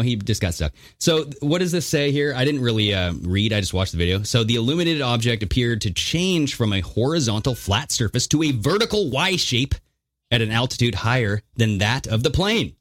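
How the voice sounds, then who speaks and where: A man's voice: mid-range voice, fairly bright tone, no echo, studio quality. A man hosting a podcast, telling stories in loose casual chat, swearing and laughing often. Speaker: podcast host.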